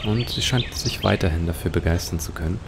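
A man's voice: low-pitched, fairly quick, noisy background. A man giving musing, speculative commentary as he plays an adventure game. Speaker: let's play host.

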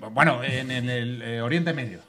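A man improvising a comedy pitch as a guest on a live podcast, hesitant and stalling with fillers, speaking into a handheld mic on a small bar stage. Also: normal speed, a crowd in the background.

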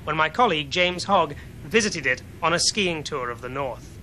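A man in his thirties with an English accent giving a scripted television report in a wry, measured way with deliberate pauses. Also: fairly high pitch, expressive, bright, forceful tone. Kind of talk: scripted investigative report, to camera and voice-over. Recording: 1970s TV film sound, background noise.

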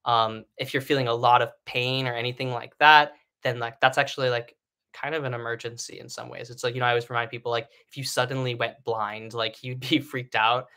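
A man in his thirties with a bright, forward voice, teaching singing on a live stream from home. He talks in a loose, encouraging way, with lots of "um" and "like" and frequent restarts. The sound comes from a webcam mic in a living room. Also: mid-range voice, little pitch movement, a little fast. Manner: chatty, encouraging, many fillers and restarts.

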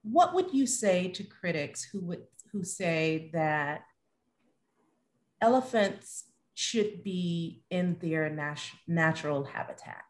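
A woman's voice: on the low side, animated, slow. Middle-aged woman moderating online. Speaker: host, moderator. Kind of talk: moderating a live online panel. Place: indoor home room, video call.

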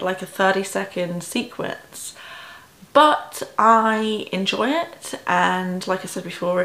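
A young woman with an English accent talks casually to a phone on a tripod in her small bedroom. She keeps it chatty and self-mocking, filling pauses with ums and likes. Her voice sits at a middling pitch, and the room's echo hangs around it. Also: gravelly, a bit bright. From England. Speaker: vlogger. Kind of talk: casual vlog chat to camera.

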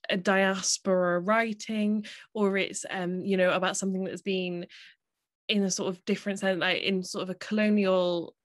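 A woman interviewing a guest for a festival, asking long questions with frequent hesitations. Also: medium pitch. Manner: hesitant, many fillers, warm laughter.

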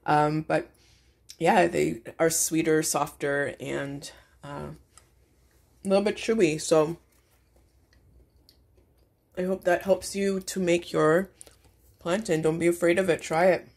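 Female home-cooking host, low-pitched, casual and explanatory. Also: fairly even intonation, slow, vocal fry, long pauses, a little mellow.

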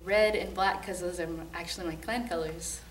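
A woman's voice: on the low side, fairly even intonation, normal speed, a little hiss or hum. A young woman answering interview questions in a casual, chatty way. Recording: echoey room, distant mic.

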